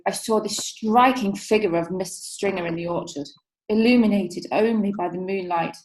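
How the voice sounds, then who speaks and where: A woman's voice: mid-range voice, a little mellow, natural voice. A coy, flustered witness, played by a woman who stumbles over her words with many hesitations. The recording carries a roomy echo. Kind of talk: amateur radio drama. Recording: echoey room.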